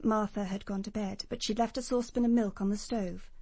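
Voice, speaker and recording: Actress doing prim english nurse in a radio whodunit, very precise, sure of herself, dry studio sound. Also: female voice, medium pitch, steady tone, fairly quick, darkish tone, no room sound, close to an everyday voice. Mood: brisk, matter-of-fact. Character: prim, assured young nurse giving police testimony. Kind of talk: British radio crime drama acting.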